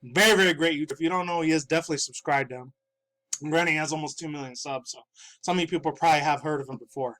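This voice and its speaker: A man in his thirties talks gaming news from his own room, sounding a little stuffy from the dust. His voice sits somewhat high for a man. He rambles loosely, curses freely and chuckles between thoughts. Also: very fast, sharp tone. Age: 30s. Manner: rambling, profane, laughing often. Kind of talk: casual video commentary.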